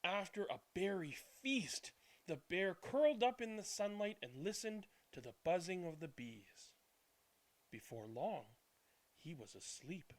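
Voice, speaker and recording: A man reading a children's picture book aloud as a storyteller, dramatically, with drawn-out words and hushed passages. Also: high-pitched, big pitch swings. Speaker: read-aloud storyteller and channel host. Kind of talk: expressive children's picture book read-aloud, then casual chat.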